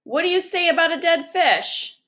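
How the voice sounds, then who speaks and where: A woman's voice: very high-pitched, lively intonation, moderate pace, bright, forceful tone, pitched above the performer's own voice, full character voice. A woman voicing a grumbly, exasperated young boy in a children's storybook, with a blunt and reluctant manner. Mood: defensive.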